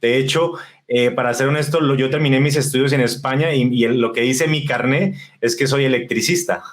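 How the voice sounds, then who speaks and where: A man's voice: mid-range voice, a little fast. Chatty man. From Colombia, raised in northern Spain. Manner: relaxed, talkative, with frequent fillers and restarts. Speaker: guest, marketing specialist. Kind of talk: conversational podcast interview.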